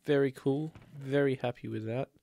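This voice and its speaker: A man's voice: mid-range voice, steady tone, normal speed, creaky, dark tone, no echo. Chatty man, slightly stuffy. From Australia. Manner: chatty, rambling, talks to himself and the game. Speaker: let's play host. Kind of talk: casual gameplay commentary.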